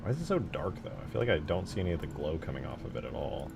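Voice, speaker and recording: Deep-voiced man chatting casually on a livestream. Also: very animated, fast talker, gravelly, noisy background. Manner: informal, thinking aloud. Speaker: game modding streamer.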